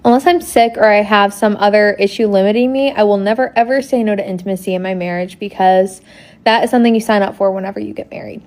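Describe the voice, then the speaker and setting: A woman's voice: mid-range voice, fast talker, darkish tone. Matter-of-fact young woman in a clip. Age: early 20s. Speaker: stay-at-home mom. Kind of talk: social media video.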